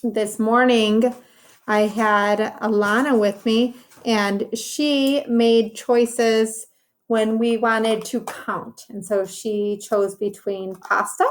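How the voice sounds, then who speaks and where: A woman's voice: a little high, steady tone, slow. A woman teacher reading a story to young children, speaking in simple, instructive phrases with encouraging asides about the pictures. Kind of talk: read-aloud lesson for young children, with commentary on pictures.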